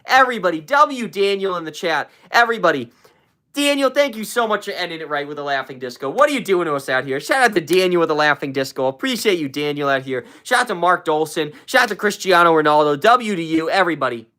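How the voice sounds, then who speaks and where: A live stream host chatting with viewers, rambling with many fillers and repeats, and shooting way up in pitch to hype up gifts and shout out names. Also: expressive, fast. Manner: hyped, shouting out viewers, jumps way up in pitch when thanking for gifts.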